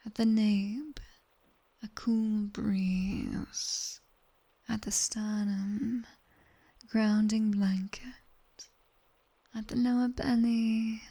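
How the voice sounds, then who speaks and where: A British woman playing a sharp-tongued nightmare demoness tutor in an ASMR roleplay, whispering breathily and close to a binaural mic with wry, commanding instruction. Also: medium pitch, slightly rough, exaggerated voice. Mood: slow, soothing, commanding. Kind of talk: ASMR roleplay, guided sleep meditation. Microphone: close binaural microphone.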